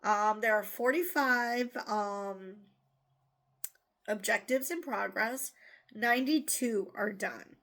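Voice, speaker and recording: A woman's voice: medium pitch, takes it slowly, a bit bright. A woman hosting a casual news commentary show, blunt and exasperated, swearing freely and rambling through asides and restarts.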